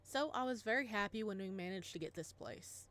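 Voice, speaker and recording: A woman voicing a gentle, doting father, narrating softly and tenderly in a visual novel. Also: medium pitch, lively intonation, fairly quick, natural voice. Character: gentle doting father, a modest physics teacher.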